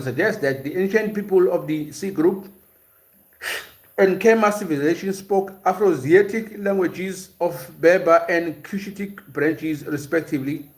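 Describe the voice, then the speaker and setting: Male host, slightly high voice, unscripted live lecture, stop-start delivery with many fillers. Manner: explanatory, hesitant, with frequent "uh" and repeated words. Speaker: host of a language and culture series. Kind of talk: live-stream lecture, reading chat and answering viewers.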